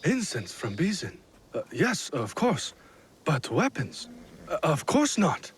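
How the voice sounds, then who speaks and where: An actor playing an evasive, flustered lord in a game cutscene, hesitating with stammers before protesting loudly. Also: male voice, fairly high pitch, wildly swooping pitch, slow, exaggerated voice. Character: flustered evasive middle-aged male lord. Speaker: feudal lord. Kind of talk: video game cutscene acting.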